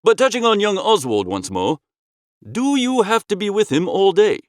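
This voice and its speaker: A man reads a comic novel in the first person. His voice is fairly high and very animated, breezy and theatrical, with a dry, close sound. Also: normal speed, no echo. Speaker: audiobook narrator.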